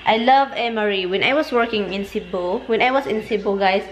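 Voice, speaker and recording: A woman's voice: mid-range voice, a little fast, rough recording. Chatty Filipino-accented woman vlogging. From Philippines. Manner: chatty, rambling, mixes in Filipino words. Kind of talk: casual vlog chat. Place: home, then inside a car. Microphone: handheld camera at arm's length.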